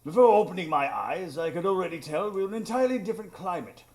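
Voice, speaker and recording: Man playing a high-voiced, animated gentleman narrator. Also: quick pace. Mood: wry. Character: earnest gentleman narrator, adventuring sidekick. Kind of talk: scripted narration in live radio drama.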